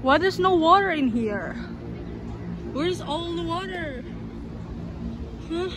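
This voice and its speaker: A woman's voice: very high voice, expressive, slow pace, music in the background. A young woman vlogging outdoors on a phone at arm's length, chatting casually and laughing at herself, with a stuffy runny nose from pollen. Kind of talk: casual vlog chat to camera. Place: outdoors in a sunny city park and streets. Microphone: phone held at arm's length. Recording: loud outdoor street noise.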